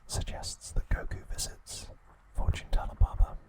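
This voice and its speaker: A man hosting ASMR, narrating a recap in a breathy, hushed whisper with short, halting phrases. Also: rough recording. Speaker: ASMR host.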